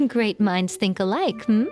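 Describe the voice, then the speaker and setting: Sly teasing shrine priestess. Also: female voice, mid-range voice, darkish tone. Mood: warm teasing. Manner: playful, wry, teasing. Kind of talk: game voice acting.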